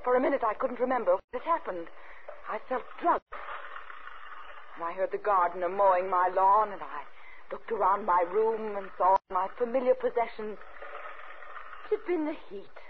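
Actress as an anxious widow, thin old-radio sound. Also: female voice, medium pitch, moderate pace, pauses often, a little hiss or hum, lightly acted. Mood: uneasy, smug. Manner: dramatic, intense, confessional first-person telling. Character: anxious, cold-hearted widow, outwardly proper, inwardly unravelling. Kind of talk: 1950s radio thriller acting and narration. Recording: muffled narrow-band 1950s radio with music.

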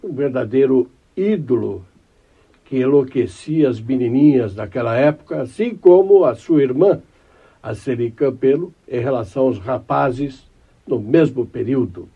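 A male podcast host asks his questions in a measured, formal tone. His voice has a husky grain, and the recording is a little dull and muffled. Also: medium pitch, animated. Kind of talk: interview questions, formal introduction.

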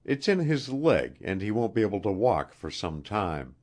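A man voicing an anxious, earnest young queen in a storybook reading, halting and pleading. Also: lowish voice, sing-song, a little mellow, pitched above the performer's own voice.

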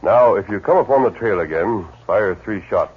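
Deep-voiced, decisive western hero, tinny 1950s radio broadcast. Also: male voice, animated, moderate pace, slightly rough. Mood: steady command. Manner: firm, decisive orders. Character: masked male western hero, calm commanding leader. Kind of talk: 1950s radio drama acting.

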